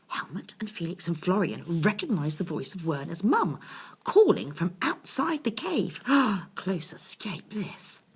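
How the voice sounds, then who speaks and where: A woman's voice: fairly low pitch, a little mellow, slightly rough. A woman reading a children's bedtime story expressively, with confiding asides to her young listeners.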